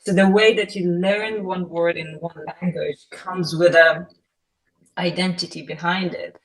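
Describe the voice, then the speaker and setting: A woman's voice: fairly low pitch, steady tone, a little slow. A woman whose first language is Persian, talking casually with many fillers on a discussion panel. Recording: distant microphone.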